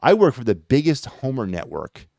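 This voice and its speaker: A man's voice: medium pitch, very animated, moderate pace, creaky, darkish tone, no echo. Guy doing his own sports podcast, blunt and kinda sarcastic, voice bounces around a lot, dead dry room. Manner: rambling, blunt and sarcastic, with frequent fillers. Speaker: podcast host. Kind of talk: solo sports talk podcast.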